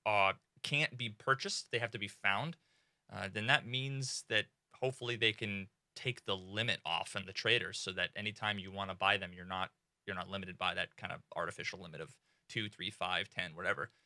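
A young man in casual gaming commentary from a small home room, excitable and swearing freely, with many fillers. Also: low voice, normal speed, no echo, clean studio sound. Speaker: gaming streamer.

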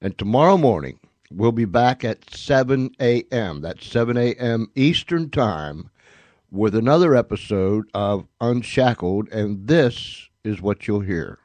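Low-voiced male radio host, dry sound. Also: expressive, measured pace, a little mellow, dry recording. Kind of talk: folksy show announcing and sign-off.

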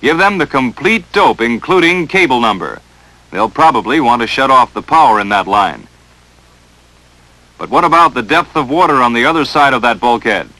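A man's voice: medium pitch, animated, normal speed, pushed and bright, low-quality recording. An American man narrating a 1940s instructional film in a stern, emphatic, dramatic coaching manner, on a vintage film soundtrack.

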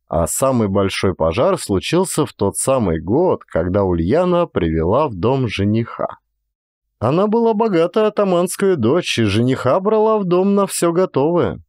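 Guy reading an audiobook, measured storyteller, lively pitch moves, very dry sound. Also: no echo. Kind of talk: literary audiobook narration.

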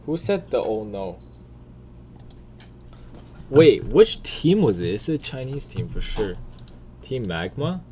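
A man's voice: mid-range voice, very animated, takes it slowly, pauses often, warm tone. A man giving blunt, informal reaction commentary as a gaming video creator, swearing casually. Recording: faint background noise, keyboard typing. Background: computer keyboard typing and clicks.

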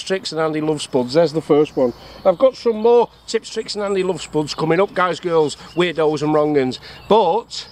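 A man's voice: on the high side, a little mellow. Chatty English man in the woods. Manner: jokey, chatty, asks himself questions and answers. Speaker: bushcraft vlogger. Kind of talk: casual outdoor demonstration. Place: woodland camp outdoors. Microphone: action camera on chest or tripod. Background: birdsong and faint outdoor noise.

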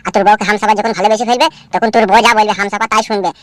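A man's voice: sits high, steady tone, very fast, a bit bright, no room sound. A man voicing a scheming, coarse-talking, nervous village crook in a comedy cartoon. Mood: conspiratorial. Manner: coarse slangy back-and-forth.

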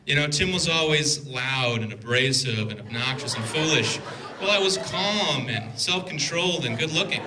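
A man's voice: medium pitch, expressive, normal speed, background noise. A man in his twenties giving a wedding toast as best man, reading from notes into a handheld mic with a dry, teasing delivery. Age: 20s. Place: wedding reception hall, indoors. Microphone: handheld microphone. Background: guests laughing.

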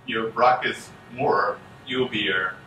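A man gives a conference keynote talk in halting English full of restarts, speaking from the front of a conference room. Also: lowish voice, sharp tone. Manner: halting non-native English, frequent restarts. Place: conference room with projector screen. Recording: echoey room, distant mic, faint hum.

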